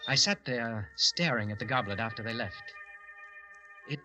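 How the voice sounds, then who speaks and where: An actor playing a brooding, menacing man in old-time radio horror, theatrical and deliberate, with dramatic hesitations. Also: medium pitch, natural voice. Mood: brooding, mesmerised. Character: Harvey, brooding, menacing man fascinated by murder. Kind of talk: old-time radio horror acting, first-person narration. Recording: old radio recording with music.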